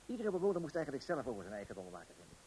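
A fussy middle-aged Dutch husband in a radio play, with a husky, mid-pitched voice. He is indignant and sure of himself, though he stumbles over little hesitations and repeats himself. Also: sing-song, very fast, a little mellow. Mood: self-assured. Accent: Netherlands Dutch. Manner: self-assured, hesitant little ehs and repeated words. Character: fussy, indignant middle-class husband guarding his property.

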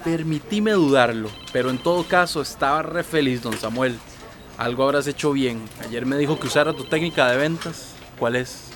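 A man's voice: medium pitch, moderate pace, close to an everyday voice. A man with a Costa Rican accent playing a frank, teasing, supportive office colleague in a radio soap opera.

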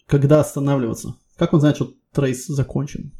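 Low-key man interviewer, slightly creaky voice. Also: flat delivery, a little fast, warm tone. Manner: probing with step-by-step follow-up questions. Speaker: technical job interviewer. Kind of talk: spontaneous technical questioning.

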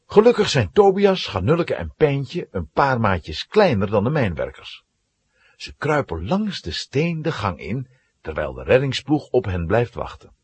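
A man's voice: medium pitch, huge pitch swings, unhurried, husky, dry recording. A man narrating a scripted children's audio play in a dramatic storytelling manner.

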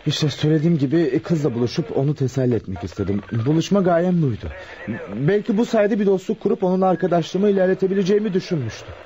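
A man's voice: fairly high pitch, expressive, quick pace, warm tone, lightly acted. An actor playing a composed, polite young male suspect in a radio play, earnest yet defiant. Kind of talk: Turkish radio theater acting. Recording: muffled, faintly noisy radio recording.

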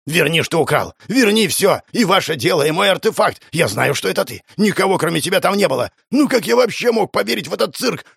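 Man acting out a shrill, raging fat bully. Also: expressive, a little fast, few pauses, fairly bright tone, breathy edge, no room sound, clean studio sound, full character voice. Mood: hysterical rage. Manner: shrill, ranting, blustering. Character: fat, short, hysterical, furious male bully.

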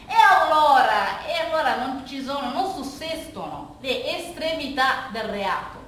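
Woman playing a halting, formal emergency operator, very echoey. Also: high voice, lively intonation, a bit bright. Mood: apologetic, official. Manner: slow, halting officialese. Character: formal, hesitant emergency operator refusing to help. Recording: roomy, distant stage recording with noise.

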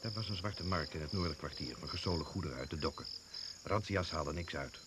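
A man's voice: low voice, quick pace, lightly acted. An actor playing a sceptical, worldly British secret service man in a Dutch radio drama, giving a terse, confiding briefing. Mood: matter-of-fact, wry. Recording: noisy old radio play recording.

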